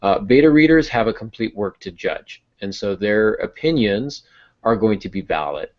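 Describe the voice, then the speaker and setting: A man's voice: fairly low pitch, animated, moderate pace. A man hosting a podcast, giving conversational advice about writing. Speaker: podcast host and writer. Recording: dull lo-fi audio.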